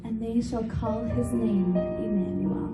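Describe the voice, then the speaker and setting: A woman's voice: a little high, fairly even intonation, takes it slowly, creaky. A woman giving a scripted reading in a church reader's theater. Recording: echoey hall, distant mic, loud music behind.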